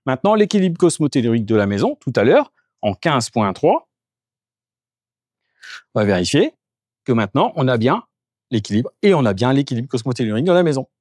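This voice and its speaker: A man at a middle pitch walks room to room through a house, calmly explaining each test as he carries it out. The sound is dry and close, with hardly any reverb. His delivery is casual and explanatory. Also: brisk, pauses often. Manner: step-by-step, explanatory, talks while working. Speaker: geobiologist doing an energy clearing. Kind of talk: on-the-spot demonstration with explanation. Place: indoors in a house, moving between rooms.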